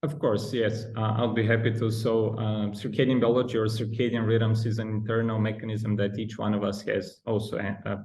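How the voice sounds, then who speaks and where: A man's voice: fairly low pitch, little pitch movement, brisk, few pauses, darkish tone, low-quality recording. A man in his thirties from Bulgaria, speaking with a Bulgarian accent as a podcast guest, explaining with frequent ums and restarts. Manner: hesitant, many ums and restarts. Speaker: guest researcher. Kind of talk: podcast interview.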